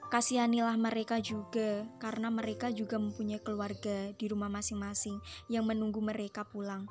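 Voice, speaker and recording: A woman's voice: medium pitch, completely flat delivery, brisk. A young woman, a student narrating a scripted explainer and addressing viewers like friends. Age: young adult. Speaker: university student presenter. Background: loud music under the voice.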